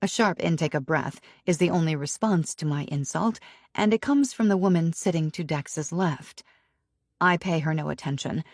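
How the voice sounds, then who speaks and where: A woman narrating a romance audiobook in first person with an expressive, emotionally charged reading. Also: deep voice, no room sound, studio quality.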